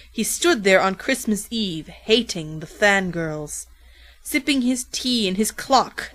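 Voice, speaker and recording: A woman's voice: medium pitch, a bit bright, rough recording. A woman narrating a rhymed storybook parody in a theatrical, playful way, drawing out words for dramatic emphasis.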